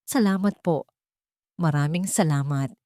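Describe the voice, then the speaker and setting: A woman's voice: deep voice, a little slow, dark tone, no echo, clean studio sound. A woman with a Filipino accent voicing a desperate, humble young mother with a pleading, deferential manner, in a narrated story. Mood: grateful.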